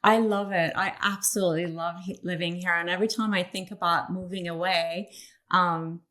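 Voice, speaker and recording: A woman from Iran, a coach for parents of sensitive children, answering interview questions in a thoughtful way with many pauses and "um"s. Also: lowish voice, fairly even intonation, fairly bright tone.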